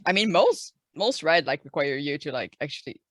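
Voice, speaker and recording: A woman's voice: sits low, expressive, normal speed, no room sound, studio quality. A woman playing a tabletop game, bantering casually and improvising with the other players.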